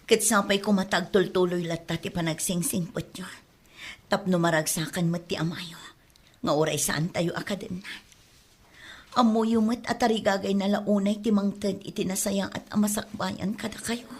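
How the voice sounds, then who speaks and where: A woman's voice: mid-range voice, fairly even intonation, normal speed, slightly rough, close to an everyday voice. A woman playing a humble, weary, devoted mother in a radio drama, speaking earnestly and plaintively. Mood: tender resolve. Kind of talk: radio drama acting in Ilocano.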